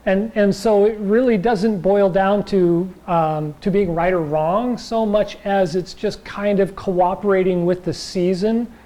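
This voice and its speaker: A man teaching a chi gung and tai chi class in a loose, rambling way, with a slightly raspy voice and frequent check-ins with his listeners. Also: high-pitched, fairly even intonation, a little slow, few pauses, dark tone. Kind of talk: casual class talk.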